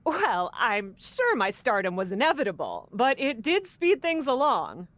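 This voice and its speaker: A woman's voice: fairly high pitch, huge pitch swings, unhurried, a bit bright, dry recording, full character voice. An actress playing a brash, vain young woman who manages wrestling shows, with a smug, sardonic, theatrical delivery in a video game cutscene. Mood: smug, mocking.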